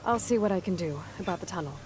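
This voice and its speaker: An actress playing a terse, capable young huntress in a video game, speaking in a level, matter-of-fact way. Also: female voice, on the low side, expressive, quick pace, a little mellow, slight background noise, natural voice, poor audio. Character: terse capable female hunter, young adult. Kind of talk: video game cutscene acting.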